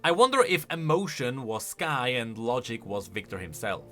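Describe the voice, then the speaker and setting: Animated, bright-voiced man commenting. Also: mid-range voice, normal speed, soft background music. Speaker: video host, game lore commentator.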